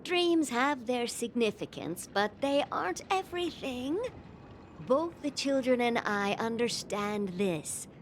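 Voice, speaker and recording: An actress playing a gentle old woman who looks after orphans in a video game, speaking in an earnest, reflective way. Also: a little high, animated, slow pace, slight background noise. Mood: reassuring, hopeful. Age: older. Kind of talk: video game cutscene acting. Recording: loud music under the voice.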